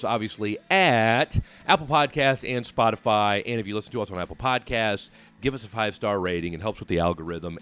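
Chatty male podcast host, lowish voice. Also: fairly bright tone. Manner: upbeat and chatty, with casual asides. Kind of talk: podcast outro and interview.

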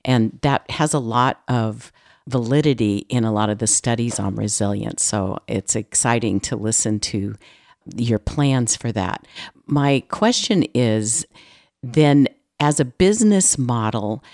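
A man's voice: medium pitch, expressive, slow pace, darkish tone, no echo, studio quality. A man hosting an interview, asking reflective questions and affirming his guest's answers. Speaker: interview host. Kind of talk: podcast interview.

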